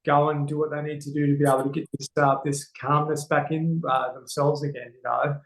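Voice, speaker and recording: A man's voice: fairly high pitch, flat delivery, brisk, roomy sound, low-quality recording. A man with an Australian accent speaking earnestly as an interview guest, with many fillers and restarts. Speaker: guest, men's health educator. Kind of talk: casual interview talk.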